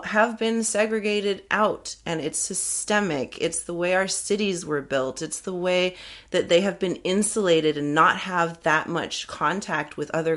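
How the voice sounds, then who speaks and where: A woman's voice: on the low side, a bit bright. A woman, a climbing guide, talking frankly and earnestly in a casual interview, laughing easily. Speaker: climbing guide and podcast guest.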